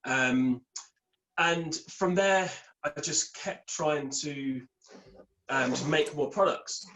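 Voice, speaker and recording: A man's voice: fairly high pitch, takes it slowly, fairly bright tone, a little hiss or hum, poor audio. A young Scottish man with an English accent, chatting casually on a call as a podcast guest, rambling upbeat through his story. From Scotland. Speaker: podcast guest, beard product entrepreneur. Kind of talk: casual chat.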